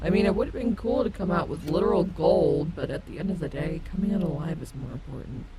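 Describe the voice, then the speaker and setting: A woman's voice: deep voice, expressive, brisk. Woman doing sassy protective robot wolf girl for an audio roleplay, teasing but sweet, really noisy background. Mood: caring, reassuring. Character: brash, protective female animatronic wolf companion. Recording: heavy outdoor ambience noise behind voice.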